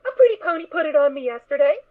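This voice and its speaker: Naive little filly: very high, childlike, earnest, excitable; tinny phone-like audio. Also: female voice, rapid-fire. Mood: innocent, chatty. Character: sweet, naive, excitable little girl pony foal. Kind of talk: fan audio drama acting. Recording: tinny, narrow phone-like sound.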